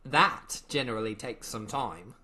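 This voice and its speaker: A performer voicing a brash, bossy, excitable male gryphon in a theatrical storybook reading. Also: mid-range voice, unhurried. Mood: brash interjecting.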